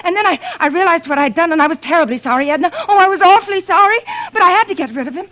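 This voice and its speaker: An actress playing a distraught, guilt-ridden young woman in an old radio drama, speaking in a halting, anguished way. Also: very high voice, a bit bright. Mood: frantic, confessing. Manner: halting, anguished, self-accusing. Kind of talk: 1940s-50s radio drama acting.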